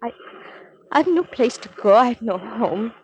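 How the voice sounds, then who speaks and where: Young refugee woman, 1940s radio drama, higher-pitched, earnest, halting formal phrasing, anxious, slightly noisy vintage recording. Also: animated. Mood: pleading, desperate. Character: anxious young Norwegian refugee woman, stateless, pleading, proper.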